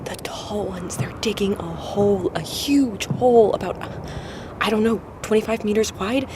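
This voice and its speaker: A woman playing a watchful field observer in an audio drama, reporting in a hushed, halting way. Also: medium pitch, noisy background. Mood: tense, awed.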